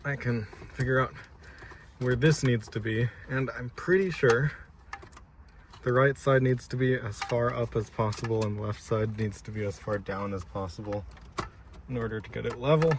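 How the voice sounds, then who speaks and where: A man with a mid-range voice presents a casual DIY walkthrough. He explains methodically, one step at a time, while he works. He is recorded inside a car, with clear noise behind his voice. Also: mid-range voice, moderate pace.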